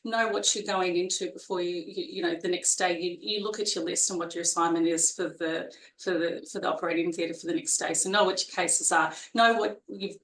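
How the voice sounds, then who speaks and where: A woman's voice: fairly low pitch, steady tone, a little fast, hardly any pauses, a bit bright. Australian woman in an echoey room. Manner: chatty, with frequent fillers and restarts. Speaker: guest perioperative nurse. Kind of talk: casual interview conversation.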